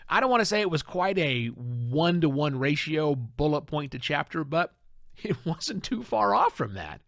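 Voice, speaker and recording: A middle-aged American man hosts a podcast in a conversational, self-deprecating way. His voice sits a bit high and swings freely in pitch. The sound is dry, with very little room in it. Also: moderate pace, creaky, no echo. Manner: animated, chuckling, self-deprecating asides. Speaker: solo podcast host. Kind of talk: outlined, conversational podcast monologue.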